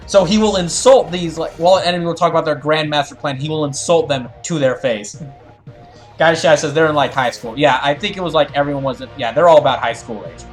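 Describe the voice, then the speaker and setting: Higher-voiced man streaming over music. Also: fairly quick. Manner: rambling, explanatory, often restarts sentences. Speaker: streamer and host of a live fandub. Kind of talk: casual livestream commentary, chatting with viewers and reading game dialogue.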